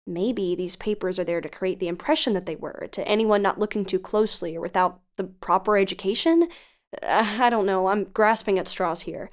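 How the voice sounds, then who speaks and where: An actress plays a careful female investigator in an audio drama. She has a mid-pitched voice and an articulate, earnest delivery, reasoning like a researcher who weighs every risk. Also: moderate pace, natural voice. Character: cautious, analytical female researcher and investigative podcaster. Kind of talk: audio drama acting, dialogue and narration.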